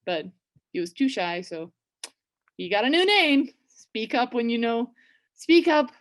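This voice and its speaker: A woman's voice: on the high side, very animated. A woman with a West Coast American accent telling and reading a story to language learners in a casual, chatty way, with many fillers and restarts. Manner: reads book passages slowly and clearly. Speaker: teacher reading a children's book. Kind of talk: storytelling lesson for English learners.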